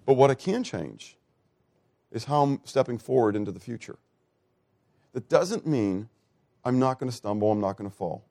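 Preacher, man in his fifties, mid-pitched, dry close sound, rhetorical call-and-response delivery. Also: expressive, moderate pace, creaky, pauses often, no echo. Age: 50s. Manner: rhetorical questions to the congregation, swings loud and soft. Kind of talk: sermon.

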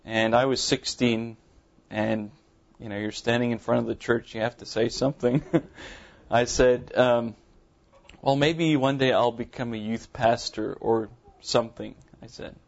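South african guy, afrikaans first language, mild accent, earnest church testimony, flat voice, kinda dull recording. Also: mid-range voice, vocal fry. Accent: Afrikaans-accented English, mild. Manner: earnest, halting, many ums and uhs. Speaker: visiting pastor. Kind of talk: sermon testimony to a congregation. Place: church sanctuary.

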